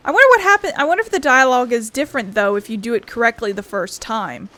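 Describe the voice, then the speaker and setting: A woman's voice: a little high, fast talker, bright, forceful tone, dry recording. A woman giving casual let's-play commentary in a rambling, playful, self-deprecating way. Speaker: gameplay streamer.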